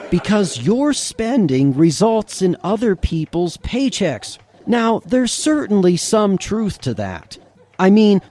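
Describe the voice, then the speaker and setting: A man's voice: high-pitched, a little mellow. A man delivering a scripted, sarcastic monologue, chuckling and asking the listener rhetorical questions. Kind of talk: scripted monologue with mock outrage.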